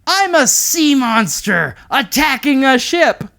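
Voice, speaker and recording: Man putting on a high excited little boy critter. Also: slow pace, vocal fry, heavily acted. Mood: excited, playful. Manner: excited, exclamatory. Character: playful little boy critter full of bedtime make-believe. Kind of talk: children's storybook character voice.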